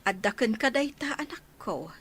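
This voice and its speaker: A woman's voice: fairly high pitch, lively intonation, normal speed, a bit bright, breathy edge. A woman playing a middle-aged, tender mother who counsels her grown child in an Ilocano radio drama. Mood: tender, advising.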